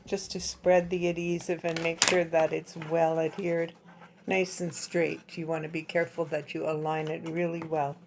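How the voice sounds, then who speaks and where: A woman with a low, husky voice talks through a craft project step by step. Her voice is slightly hoarse from dry air, and her tone stays relaxed and chatty as she works with her hands. A faint hiss and the odd click sit under the recording. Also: moderate pace. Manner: chatty, explains while working with her hands. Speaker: craft tutorial host.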